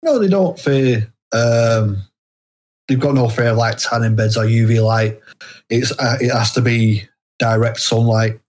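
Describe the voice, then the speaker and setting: A British man answering as an interview guest, explaining things casually in a hesitant, halting way. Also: mid-range voice, darkish tone. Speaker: guest, indie horror author.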